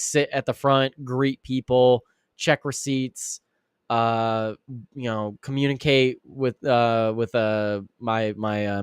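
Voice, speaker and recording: Male live-show host with a raspy, slightly sick voice, mid pitch, rambling delivery, dry close sound. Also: no room sound. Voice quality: raspy, deeper than usual. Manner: rambling, many restarts and fillers. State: sick, clearing throat and coughing. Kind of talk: casual live-stream commentary.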